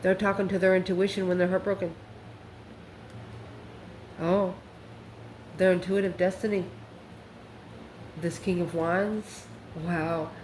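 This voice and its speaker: A woman's voice: on the low side, level tone, slow pace, pauses often, a little mellow. A woman giving an improvised online tarot reading in a folksy, colloquial and sassy way. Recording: roomy echo with faint background noise.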